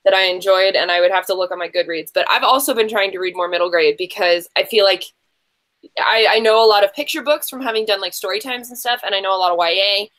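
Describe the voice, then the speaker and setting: A woman's voice: medium pitch, fast, fairly bright tone. A woman hosting a casual livestream game show in a chatty, gushing way, rambling and interrupting herself with slangy asides.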